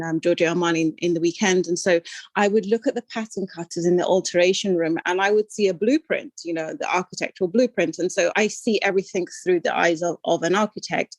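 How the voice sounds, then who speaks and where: A woman's voice: lowish voice, dry recording. An older woman from Sudan, raised in London, speaking as a podcast guest in a reflective, self-deprecating way with frequent ums and restarts. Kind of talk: conversational interview.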